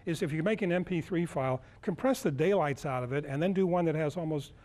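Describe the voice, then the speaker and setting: A man in his sixties giving an informal live demonstration as a lecturer, halting as he searches for words. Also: fairly high pitch, a little mellow. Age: 60s. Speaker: lecturer at a club workshop. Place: indoor meeting room, speaking to a group.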